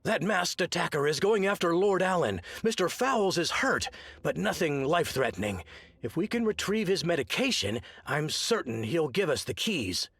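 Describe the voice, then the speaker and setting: A man's voice: fairly high pitch, big pitch swings, normal speed, gravelly, a bit bright, breathy edge, dry recording, exaggerated voice. A man playing a confident, reassuring detective in a video game, with an assured theatrical delivery. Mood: brisk, reassuring. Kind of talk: theatrical game cutscene acting.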